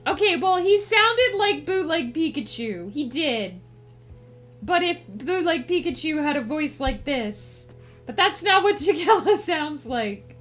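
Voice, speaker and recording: High-pitched, playful woman streamer. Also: big pitch swings, measured pace, fairly bright tone, faint music behind. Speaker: livestream host chatting with viewers. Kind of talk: casual livestream chat.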